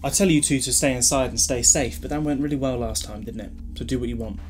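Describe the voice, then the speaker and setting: A man playing a flippant, protective alien time traveller in a fan audio drama, with a husky voice and wry, bantering delivery. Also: medium pitch, quick pace, soft background music, lightly acted.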